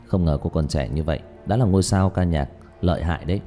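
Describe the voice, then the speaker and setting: A man playing a calm, matter-of-fact young male exorcist in an audiobook, speaking in a low-key, measured way. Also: soft background music, natural voice. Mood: composed. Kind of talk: audiobook character dialogue.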